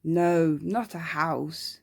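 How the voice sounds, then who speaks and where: A woman's voice: deep voice, fairly even intonation, extremely slow, creaky, breathy edge, no echo, studio quality, exaggerated voice. A woman voicing a precocious, earnest little boy, matter-of-fact and knowing, in an ASMR bedtime story. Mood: solemn. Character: precocious, earnest little boy who loves scary monsters.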